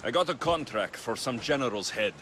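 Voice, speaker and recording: An actor playing a young, stoic, gruff mercenary in a video game, speaking in a terse, restrained way. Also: male voice, mid-range voice, expressive, a little fast, background noise, natural voice. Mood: businesslike. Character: gruff stoic male mercenary, young, world-weary but kind. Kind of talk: cinematic video game acting.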